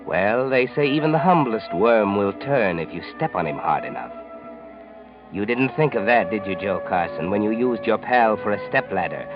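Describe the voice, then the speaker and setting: An American actor playing a sardonic, ominous, all-knowing narrator who taunts characters in a 1940s radio mystery. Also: male voice, on the low side, lively intonation, moderate pace, natural voice. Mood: ominous taunting. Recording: dull vintage 1940s radio recording. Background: dramatic music.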